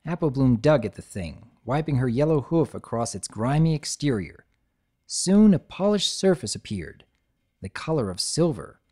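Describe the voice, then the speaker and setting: A woman narrating a dramatic reading of a story in an expressive storytelling manner. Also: extremely low pitch, moderate pace, slightly rough.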